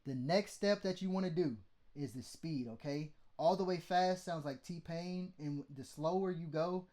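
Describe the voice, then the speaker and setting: Mid-range male tutorial host, slightly high, laid-back and profane. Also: a little slow, mellow. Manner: loose and blunt, swears freely, lots of "like" and "okay?". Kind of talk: casual how-to teaching.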